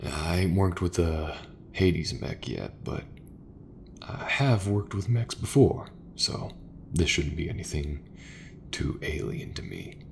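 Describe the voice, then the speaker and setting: A man playing an easygoing, folksy sci-fi mechanic in a soft, breathy, reassuring ASMR roleplay voice. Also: deep voice, very animated, background noise, full character voice. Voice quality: gravelly, breathy, half-whispered. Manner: slow, unhurried, reassuring, colloquial. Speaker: voice actor.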